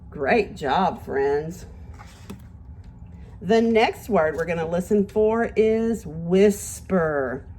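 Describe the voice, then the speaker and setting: A woman's voice: medium pitch, darkish tone, a little hiss or hum. A woman teacher giving a read-aloud lesson to young children, speaking expressively in simple words and addressing them directly with questions and praise.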